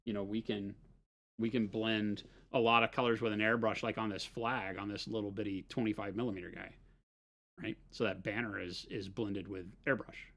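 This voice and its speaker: A man's voice: fairly low pitch, steady tone, moderate pace, fairly bright tone. A man explaining model painting techniques in casual livestream talk, often checking in with "right?". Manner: explaining, fillers and restarts, says "right?".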